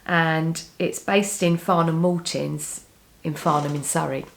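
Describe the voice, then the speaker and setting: Croaky low-voiced woman chatting casually. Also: steady tone, measured pace, slight background noise. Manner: chatty, rambling, frequent restarts and ums. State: has a cold, slightly croaky. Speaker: knitting vlogger. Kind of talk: casual chat to camera.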